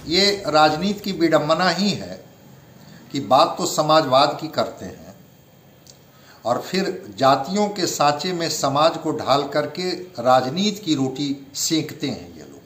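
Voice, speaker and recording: A man's voice: on the high side, very animated, a little slow, a bit bright. A man giving political commentary like a spokesman, earnest and oratorical in his phrasing. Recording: roomy, echoing interview sound.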